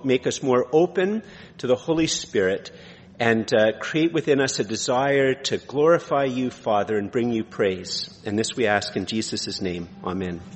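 A man speaking informally as a pastor hosting a church service, with a conversational, self-deprecating manner. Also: medium pitch. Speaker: pastor hosting and introducing a guest preacher. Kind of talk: informal church introduction and prayer. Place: large church room. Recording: echoey hall with long reverb.

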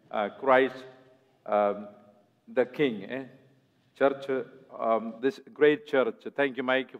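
A man from southern India with a South Indian accent, preaching a sermon as a guest in a church in an earnest, halting, conversational way. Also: medium pitch. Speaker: guest preacher, ministry president. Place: church sanctuary. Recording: echoey church hall, dull lo-fi sound.

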